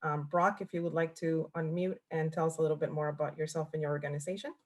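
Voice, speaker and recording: A woman's voice: sits low, fairly even intonation, quick pace, a little mellow. A woman moderating an online panel, politely introducing each speaker.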